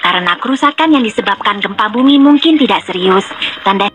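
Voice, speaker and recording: A woman voicing a cheerful young panda tour guide in a children's educational game, speaking clearly and instructively. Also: mid-range voice, lively intonation, fairly quick, a bit bright, dull audio, natural voice. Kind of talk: scripted educational narration for children. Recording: music behind the voice.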